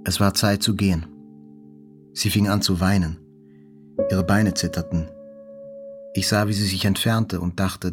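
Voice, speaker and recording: Audio drama lead: actor playing wounded male journalist, lowish voice, sober literary first-person narration, light music bed. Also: natural voice. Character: sober, wounded male journalist recounting his hospital stay. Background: faint music.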